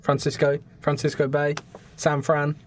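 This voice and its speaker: Man, slightly high voice, casual comedy chat, bantering, quick to laugh, noisy clicky recording. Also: monotone. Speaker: podcast host. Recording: noticeable background noise, clicks.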